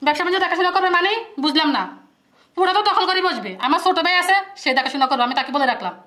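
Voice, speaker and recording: Woman as high-pitched, fast-nagging sister-in-law. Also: bright, forceful tone. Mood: indignant. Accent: colloquial Bangladeshi Bengali. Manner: sarcastic, complaining. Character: shrill nagging sister-in-law, quarrelsome wife. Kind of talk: acted family drama.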